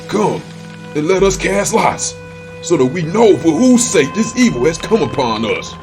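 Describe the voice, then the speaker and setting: An alarmed ancient seaman in a scripture audio drama, acted by a man, his voice pitched well up and loud. He is urgent and beseeching, with strong swings over music. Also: sing-song, measured pace. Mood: anxious questioning. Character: alarmed, pleading ancient sea captain and frightened sailors.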